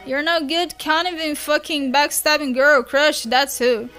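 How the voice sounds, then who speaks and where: A woman's voice: sits high, steady tone, unhurried, sharp tone, faint music behind. A woman voicing a brash, hostile young male troll who talks in a profane, pushy, sneering way.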